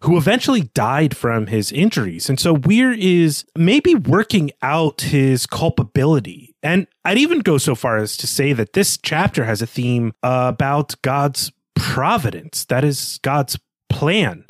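A man's voice: on the high side, big pitch swings, a little slow, no echo. A man co-hosting a podcast, talking through a literary discussion in an analytical, explanatory way with clarifying asides. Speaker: podcast co-host.

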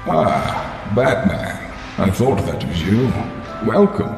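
An icy, vengeful male supervillain for a superhero audio story. His low voice is menacing and deliberate, ringing in a long cavernous echo with music playing strongly behind it. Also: very animated, vocal fry, exaggerated voice. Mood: mock welcoming. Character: cold, vengeful male supervillain in a freezing suit. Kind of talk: superhero audio drama acting. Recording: long echoing reverb under loud music.

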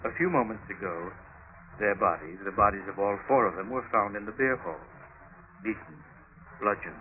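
A man's voice: on the low side, moderate pace, sharp tone. An actor playing a grandiloquent policeman in old-time radio comedy-drama, with a declamatory, portentous delivery. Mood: awed, grandiose. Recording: noisy old radio recording.

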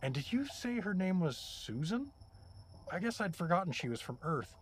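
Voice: medium pitch, sing-song, normal speed. An actor playing a bewildered, gullible dad and reluctant hero in an audio drama, plain-spoken, naive and exasperated. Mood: puzzled. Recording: clear background noise and ambience.

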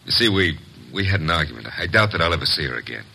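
Genteel evasive husband, deep rough voice, very animated intonation, old radio drama. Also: male voice, fast, full character voice. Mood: defeated, earnest. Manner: proper, hesitant, indignant. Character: genteel, evasive husband shielding his wife.

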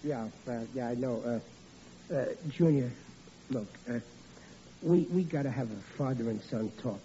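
A man's voice: mid-range voice, a little slow, dark tone, slight background noise, natural voice. An actor playing a bumbling, bluffing father who stammers through his excuses, in 1940s radio acting style, on an old lo-fi recording. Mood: blustering. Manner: stammering, bluffing comic bluster. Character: blustering bumbling father Riley.

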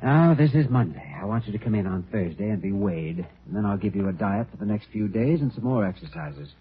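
An American actor playing a firm but kindly doctor in classic radio drama, with a brisk, authoritative manner. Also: male voice, fairly low pitch, lively intonation, slight background noise, natural voice. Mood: brisk, encouraging. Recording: dull lo-fi old radio recording.

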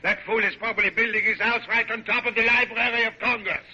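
An actor playing a haughty, hot-tempered perfectionist architect, in an imperious, theatrical classic radio drama style. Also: male voice, sits high, steady tone, fast talker, sharp tone, slightly rough, heavily acted. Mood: outraged, commanding. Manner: imperious, theatrical, grandly formal. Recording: noisy, dull vintage radio recording.

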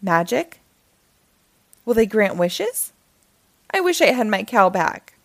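A woman's voice: medium pitch, big pitch swings, slow pace, no echo. A woman voicing a cheeky, whiny young boy hero in a children's storybook read-aloud, complaining and answering back. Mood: excited. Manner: complaining, exclamatory back-talk.

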